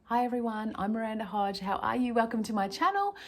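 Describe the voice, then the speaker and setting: A woman stress coach leading a breathing lesson in a gentle, chatty way, her voice croaky from a cold. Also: on the high side, over loud music. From Australia. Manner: gentle, chatty, slows down to calm. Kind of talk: guided breathing lesson to camera.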